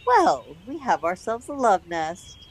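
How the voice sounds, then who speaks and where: A woman's voice: medium pitch, big pitch swings, measured pace, slight background noise. A woman playing a bold, pragmatic young woman and secretive lover in a radio drama, speaking in endearments. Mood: frank, conspiratorial. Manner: endearing, frequently says darling.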